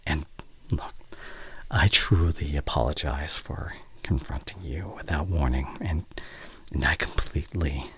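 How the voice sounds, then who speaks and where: A man's voice: extremely low pitch, a little slow, a bit bright, slight background noise. A man voicing an ancient, courtly, besotted vampire in a formal, hesitant, half-whispered ASMR roleplay monologue, close on the mic. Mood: pleading, devoted. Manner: formal, old-fashioned, hesitant phrasing. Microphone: close studio condenser mic.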